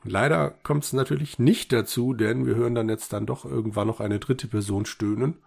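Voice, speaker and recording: Gravelly, husky man in podcast chat. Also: mid-range voice, fairly even intonation, moderate pace, a little mellow. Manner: appreciative, quotes characters' lines while retelling. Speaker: podcast co-host. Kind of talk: casual discussion retelling an audio drama.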